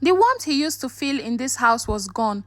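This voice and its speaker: A woman's voice: sits high, sing-song, normal speed, fairly bright tone. A woman storyteller narrating a scripted story in a dramatic way.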